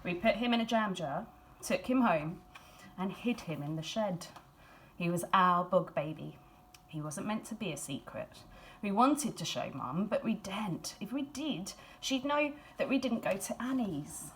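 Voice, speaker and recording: A woman reads a children's storybook aloud expressively, in a measured storytelling manner with dramatic emphasis and hushed phrases. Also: medium pitch, animated, a little hiss or hum. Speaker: school staff member reading a picture book aloud.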